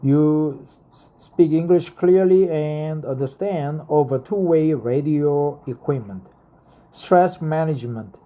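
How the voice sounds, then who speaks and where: A man in his fifties speaks English with a Korean accent, at a slow pace and in a slightly high, mellow voice. He reads points out deliberately, then drifts into halting, casual asides, repeating phrases as he goes. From South Korea. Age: 50s. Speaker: vlogger explaining a career path. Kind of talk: reading aloud from notes with casual personal asides.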